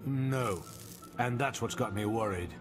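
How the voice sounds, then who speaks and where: A man's voice: mid-range voice, unhurried, natural voice. A man playing a grouchy, cautious, exasperated pilot officer in a video game, stern and disapproving. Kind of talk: video game cutscene acting. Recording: loud music behind.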